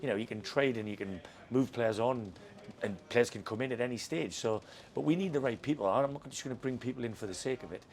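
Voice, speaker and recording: A man's voice: medium pitch, quick pace. A man who manages a football club, talking frankly and reflectively in a post-match interview, often repeating words as he thinks. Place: football stadium.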